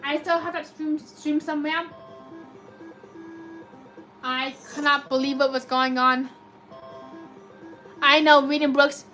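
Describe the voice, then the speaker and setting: A woman with a robotic-sounding voice chatting excitedly through a live slot machine stream, reading and answering chat comments. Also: high-pitched, slow pace, long pauses, faint music behind, poor audio. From United States. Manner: chatty, excitable cheering at spins.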